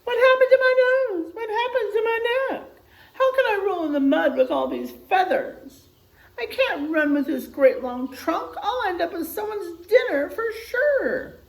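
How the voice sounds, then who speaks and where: A woman's voice: very high-pitched, lively intonation, measured pace, vocal fry, echoey room, pitched above the performer's own voice, exaggerated voice, low-quality recording. A woman voicing indignant, distressed warthogs from a children's storybook, exclaiming in a dramatic wail.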